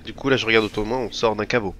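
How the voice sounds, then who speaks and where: A man's voice: on the low side, fairly even intonation, rapid-fire, a bit bright, soft background music. A man playing a character in a tabletop game, talking casually with stammered restarts and slang. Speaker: player.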